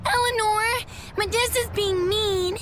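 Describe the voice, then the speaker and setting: Squeaky, whiny little monster girl. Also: steady tone, a bit bright, slight background noise. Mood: stubborn, pouting. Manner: childish, drawn-out whining.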